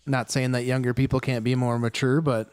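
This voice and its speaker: A man's voice: medium pitch, level tone, brisk, darkish tone, clean tone, no echo. A man hosting a casual gaming livestream, talking to his viewers in a rambling way with many fillers and restarts. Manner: chatty, talks straight to chat viewers.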